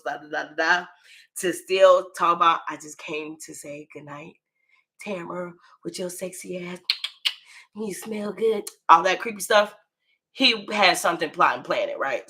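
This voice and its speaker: A woman's voice: deep voice, fairly bright tone. An American woman telling a personal story in a casual, slangy way, swearing freely and acting out what people said. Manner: slangy and profane, acts out quoted dialogue, checks in with the listener.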